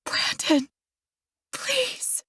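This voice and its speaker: A woman's voice: extremely slow, sharp tone, no echo, clean studio sound. A woman voicing a desperate, grieving woman in labor, pleading and crying out in a dramatic audiobook. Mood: anguished begging. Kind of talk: dramatic audiobook character acting.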